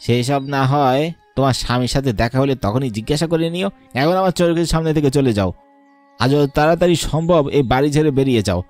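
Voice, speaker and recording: A man acts out a greedy landowner's brother, a cartoon villain. He sneers and mocks and orders people around at a medium pitch. Music runs underneath the melodrama. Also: animated, quick pace, a little mellow, no echo, lightly acted. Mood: scornful. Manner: sneering, mocking, bossy.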